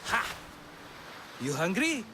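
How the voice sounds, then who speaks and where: An actor playing a cheerful, scheming middle-aged merchant in a video game, with a nervous, wheedling, theatrical manner. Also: male voice, high voice, wildly swooping pitch, slow pace, over music, heavily acted. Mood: coaxing. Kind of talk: video game cutscene acting.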